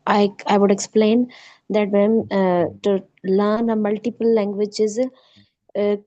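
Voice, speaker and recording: Flat-toned Sindhi-speaking woman student. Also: mid-range voice, slow pace, dry recording, highs cut off. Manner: polite, often says "ma'am", fillers and restarts. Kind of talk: online class speaking practice.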